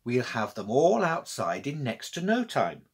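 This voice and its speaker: Man voicing a brisk, lively storybook granny. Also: medium pitch, husky, full character voice. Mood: decisive. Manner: commanding, decisive. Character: brisk, take-charge French granny, kindly and bossy.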